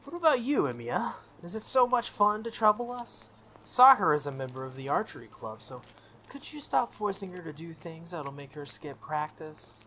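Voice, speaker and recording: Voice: medium pitch, sing-song, normal speed, pushed and bright, slight background noise. The player of a visual novel voicing an arrogant, bullying teenage schoolboy with a contemptuous, needling manner. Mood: sneering, bullying.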